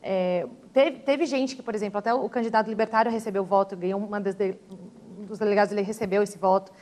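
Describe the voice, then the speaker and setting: A Brazilian woman speaking as a guest on a TV studio panel, giving her opinions in a calm, measured way. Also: medium pitch. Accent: Brazilian Portuguese. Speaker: guest commentator.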